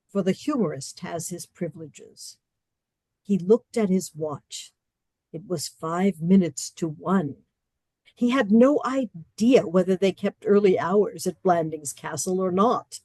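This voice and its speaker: Slow, mellow woman narrating a radio play. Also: mid-range voice, dry recording. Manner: measured storytelling with dry comic emphasis.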